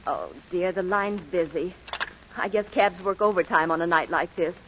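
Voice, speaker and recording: A woman playing a polite, hurried mother in a 1940s radio drama. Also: fairly low pitch, a bit bright, lightly acted. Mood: polite, flustered. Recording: muffled vintage radio recording, faint noise.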